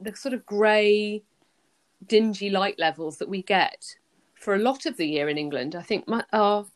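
A woman's voice: medium pitch, moderate pace. A woman hosting a podcast, opening the episode and asking a guest questions attentively. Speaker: podcast host.

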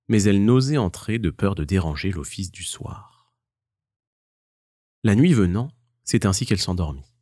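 A man narrating a scripted children's story as an expressive storyteller. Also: deep voice, no room sound, clean studio sound.

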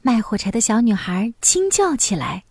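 High-voiced woman narrating a children's fairy tale. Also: normal speed, dry recording. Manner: expressive, clearly paced storytelling for young children. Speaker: storyteller.

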